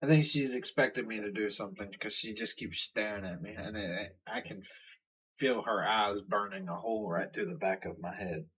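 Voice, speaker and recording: A man's voice: fairly low pitch, moderate pace, fairly bright tone, husky, low-quality recording. A young man with a Southern US accent, hosting a reaction video and ranting in a blunt, rambling, profane way. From Southern United States. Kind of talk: casual ranting commentary.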